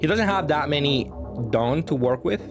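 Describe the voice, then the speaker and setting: A man's voice: fairly high pitch, animated, moderate pace. A man giving casual gameplay commentary, thinking aloud in a hesitant way as he plays. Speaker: card game player presenting match commentary. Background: music.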